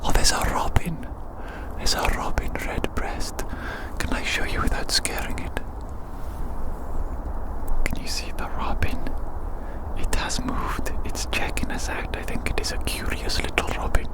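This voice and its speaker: An ASMR host speaks entirely in a whisper, taking every phrase slowly. The delivery is soothing and playful, full of cutesy little turns of phrase, and it is recorded outdoors in winter woodland. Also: rough recording. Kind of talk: ASMR whispered roleplay ramble.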